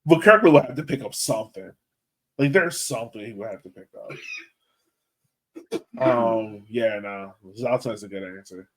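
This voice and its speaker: A man hosting a casual sports podcast, talking informally and thinking out loud as he goes. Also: on the low side, expressive, normal speed, long pauses. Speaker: podcast host.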